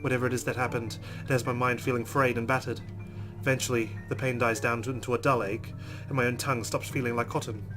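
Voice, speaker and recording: A man's voice: medium pitch, level tone, fast, hardly any pauses, over music. A man hosting a let's play, reading a visual novel's text aloud dramatically and adding his own commentary.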